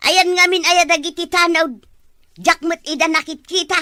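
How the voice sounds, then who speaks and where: A woman playing an excitable, easily alarmed villager in an Ilocano comedy drama. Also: extremely high pitch, normal speed, no room sound, clean studio sound. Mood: worried.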